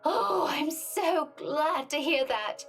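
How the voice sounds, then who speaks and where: A woman's voice: high voice, slow pace, music in the background. A woman voicing an anxious, caring young schoolteacher in a visual novel, fretful and insistent. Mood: relieved, retorting.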